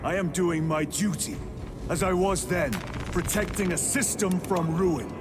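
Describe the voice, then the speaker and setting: An actor playing a steadfast, dutiful armored space soldier lieutenant with formal, clipped military speech in a cinematic video game. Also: male voice, sits high, unhurried, music in the background. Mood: guarded, firm.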